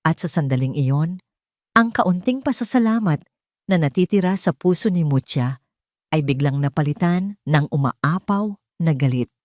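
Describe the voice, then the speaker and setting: A woman's voice: fairly low pitch, mellow, dry recording, studio quality. A woman narrating a scripted dramatic story as an audio drama narrator.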